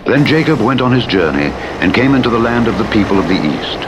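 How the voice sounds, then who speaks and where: A man narrating the Bible in a solemn, measured and formal declamatory manner. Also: big pitch swings, muffled recording. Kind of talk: solemn scripture reading. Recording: played in a game over rumbling truck engine noise. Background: vehicle rumble from a truck simulator game.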